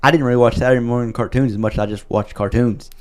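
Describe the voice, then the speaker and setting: A man's voice: mid-range voice, fairly even intonation, racing, clear, resonant tone. Guy hosting a chill podcast, voice getting worn out, rambles and corrects himself a lot. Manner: rambling, self-correcting, wraps up the show. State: losing his voice from talking a lot. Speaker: podcast host. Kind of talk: casual chat.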